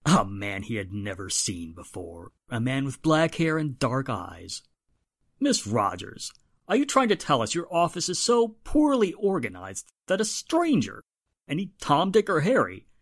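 Guy as a snooty well-spoken radio drama gentleman, formal, pointed, very up and down intonation. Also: on the high side, heavily acted. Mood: indignant. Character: haughty, well-spoken gentleman, suspicious nephew of wealthy victim. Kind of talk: 1940s radio drama acting.

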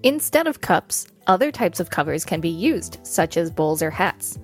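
A woman's voice: on the low side, big pitch swings, moderate pace, faint music behind. A woman co-hosting a podcast with casual commentary and reading aloud. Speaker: podcast co-host.

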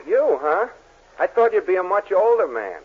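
An actor playing a wry, plain-spoken photographer with slangy wisecracks in a 1940s radio sitcom. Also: male voice, high voice, sing-song, normal speed, slightly rough, full character voice. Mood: bemused, wry. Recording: tinny, hissy 1940s radio recording.

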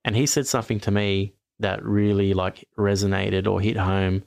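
A man's voice: fairly low pitch, level tone, moderate pace, creaky, darkish tone, clean tone, no echo, clean studio sound. A man talking sport on a podcast in a rambling, frank way, often restarting his sentences. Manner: rambling, self-correcting, frank opinions. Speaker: podcast host. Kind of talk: casual sports chat.

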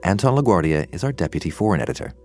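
A man's voice: low voice, lively intonation, very fast, darkish tone, soft background music. A man narrating a scripted news report as a podcast journalist.

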